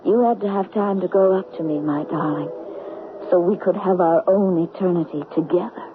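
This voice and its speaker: A woman acting a mysterious, devoted young lover in vintage radio drama. She is intimate, dramatic and softly insistent. The recording is narrow and muffled, with clear noise throughout. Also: fairly low pitch, brisk, lightly acted. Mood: tender, wistful.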